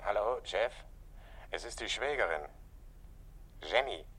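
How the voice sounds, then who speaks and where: A man's voice: lowish voice, animated, a little slow, fairly bright tone, breathy edge, full character voice. An actor playing an alert, obedient police detective in a 1960s German radio play, speaking in a businesslike way to his chief.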